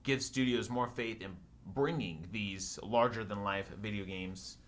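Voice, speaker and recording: A man's voice: on the low side, unhurried, a bit bright, slight background noise. A man giving casual, opinionated movie talk as a podcast panelist.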